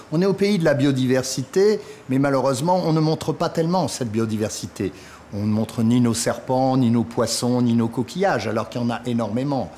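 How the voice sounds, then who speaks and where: A man's voice: medium pitch, darkish tone. A man speaking spontaneously in a documentary interview as an insect collector, explaining things point by point. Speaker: insect collector and exhibition guide. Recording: clear background noise, natural ambience.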